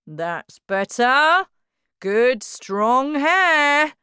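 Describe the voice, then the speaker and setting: A woman reading a children's story, voicing a loud, boastful, self-important father who talks slowly and grandly. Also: animated, clear, resonant tone, no echo, studio quality. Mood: self-satisfied. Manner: pompous, slow and booming.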